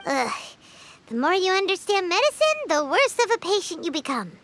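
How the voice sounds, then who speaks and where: A woman voicing a tiny, excitable, cheeky fairy companion with a childlike, squeaky voice in a video game. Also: normal speed, heavily acted. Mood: fussing. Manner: speaks of herself in third person, exclamatory. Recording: game sound effects behind, clear noise.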